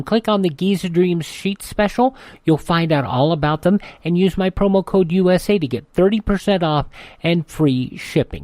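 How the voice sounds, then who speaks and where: A man's voice: on the high side, darkish tone, dry recording. A male radio host reads scripted links and promotions. His voice sits in a dry, close studio sound.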